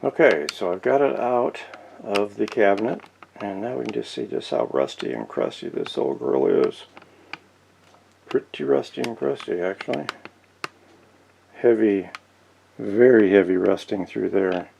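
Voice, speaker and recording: A man's voice: fairly low pitch, expressive, unhurried, vocal fry, pauses often, a little mellow, slight background noise. A man giving casual, folksy, unscripted narration as he works with his hands, thinking aloud as he goes. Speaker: host of a vintage radio repair channel. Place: indoor workshop.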